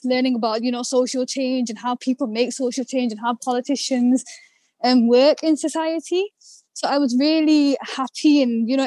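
A young woman from northern England chats casually as a student podcast guest. Her voice is high, and the recording is close and dry. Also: darkish tone, no room sound. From Manchester, England. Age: young adult. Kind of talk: casual chat.

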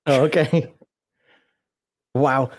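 A man's voice: mid-range voice, fairly even intonation, very slow. A man chatting casually on a live stream, rambling with lots of fillers and laughs, his voice a little worn from talking. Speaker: streamer co-host.

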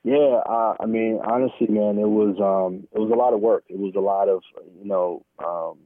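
An American man speaking candidly and informally as an interview guest, halting often and peppering his talk with "man" and "you know". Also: fairly low pitch, fairly even intonation, dry recording. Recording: thin phone-like line, dry.

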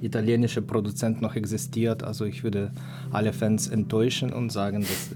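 A man from Ukraine sits in as a studio guest, his voice sitting a little low. He talks about his indie label hesitantly, pausing on filler sounds as he goes. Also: little pitch movement, music in the background. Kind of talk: casual radio interview. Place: radio studio.

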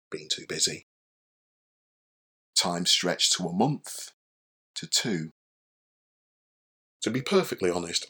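A man's voice: low-pitched, pushed and bright, husky, exaggerated voice. An actor playing a young, self-deprecating bar worker, telling his story in a conversational, wry, confiding radio drama monologue.

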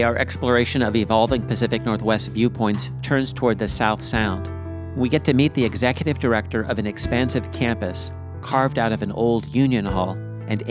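A man hosting a podcast, speaking in a measured, articulate way with formal phrasing in introductions and interview questions. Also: on the low side. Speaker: podcast host.

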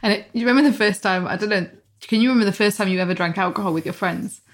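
A woman's voice: medium pitch, rapid-fire. A woman, co-hosting a casual chat and telling a personal story with plenty of laughter. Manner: animated, laughs often while telling the story.

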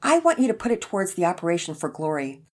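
A woman's voice: lowish voice, big pitch swings, fast talker. A woman voicing an eager, kindhearted young boy in a story, polite and earnest. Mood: concerned, earnest. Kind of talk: acted character dialogue in children's story narration.

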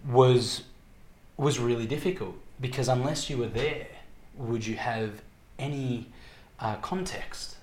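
A man in his twenties with an Australian accent, telling his story casually as an interview guest, reflective and halting as he searches for words. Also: mid-range voice. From Victoria, Australia. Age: 20s. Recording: echoey room, background noise.

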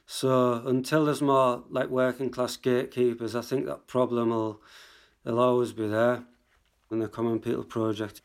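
A man, a writer, speaking in a calm, measured way in an interview. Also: medium pitch.

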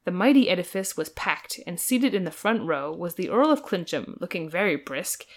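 A woman co-hosting a podcast, reading an old novel aloud as an amused, dramatic narrator. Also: lowish voice.

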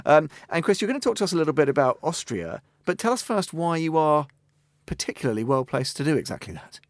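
A man's voice: on the high side, very animated, fast, no echo. A man hosting a radio studio discussion, steering guests with friendly, wry banter. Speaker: radio host.

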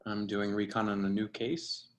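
A man acting a dry, by-the-book surveillance agent and mentor in a screenplay reading, with a measured, instructive delivery. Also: fairly low pitch, little pitch movement, lightly acted. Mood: businesslike.

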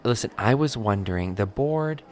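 A woman's voice: very deep voice, lively intonation, darkish tone. Flustered, evasive school committee mother. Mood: pleading. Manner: halting, flustered, over-polite. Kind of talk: improvised radio play acting.

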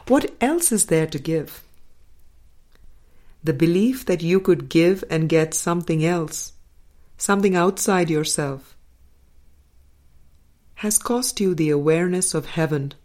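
Slow, low-voiced woman reading softly. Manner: slow, deliberate, soft-spoken with quiet trailing endings. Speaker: reader of a spiritual book. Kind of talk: measured audiobook-style reading with commentary.

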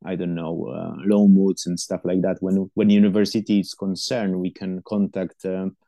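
A man's voice: sits low, little pitch movement, normal speed, vocal fry, mellow, no room sound, clean studio sound. A middle-aged man who is not a native English speaker, answering interview questions about supporting university students, with many fillers and restarts.

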